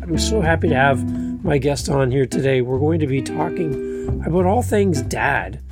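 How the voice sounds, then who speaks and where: A man's voice: a little high, lively intonation, normal speed, over loud music. A man hosting a podcast, welcoming his guest in an eager, upbeat way. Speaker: podcast host. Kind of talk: friendly interview hosting.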